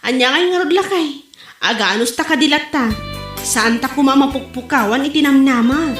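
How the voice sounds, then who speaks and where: Woman playing a high-pitched, chatty Ilocano wife. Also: fairly quick, over music, close to an everyday voice. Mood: patient. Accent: Filipino, Ilocano. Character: eager, chatty village wife hoping for a baby. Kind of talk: amateur comedy radio drama acting. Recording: roomy, echoing amateur podcast recording.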